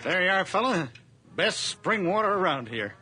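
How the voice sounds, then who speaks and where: A man's voice: sits high, very animated, moderate pace, noisy background. A man playing a kindly, lonely old widowed farmer in a 1980s radio drama, speaking in a plain, folksy, hesitant way. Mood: kindly, concerned. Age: older.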